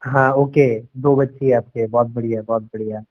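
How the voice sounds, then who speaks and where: A man's voice: mid-range voice, steady tone, normal speed. A young man talking as a sales coach on a phone call, persuasive and conversational, repeating himself and mixing in English words. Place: at home. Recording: dull lo-fi call recording.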